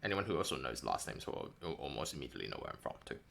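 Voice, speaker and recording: Gravelly man rambling on a live stream. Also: a bass voice, sing-song, racing, fairly bright tone. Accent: light New Zealand English. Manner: rambling, self-correcting, wry. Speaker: indie game developer streaming a devlog. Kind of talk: casual live-stream chat.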